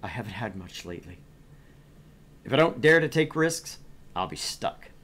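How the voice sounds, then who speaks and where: A man's voice: mid-range voice, sing-song, moderate pace, fairly bright tone. A man reading as a bemused, earnest young job seeker who narrates their thoughts in a visual novel. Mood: reflective.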